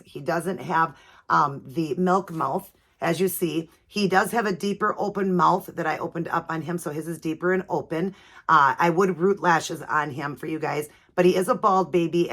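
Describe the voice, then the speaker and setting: A woman from the with a low-pitched voice, hoarse and raspy because her allergies are acting up. She talks to her viewers in a loose, chatty way, often pausing with um and you know. She sounds middle-aged. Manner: chatty with many fillers. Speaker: doll channel host. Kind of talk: casual video chat.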